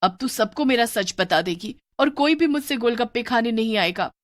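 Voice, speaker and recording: A woman's voice: on the high side, animated, moderate pace, no room sound, clean studio sound, pitched above the performer's own voice, natural voice. A woman voicing a sorrowful long-armed female witch ghost who sells street snacks, in a plaintive tone.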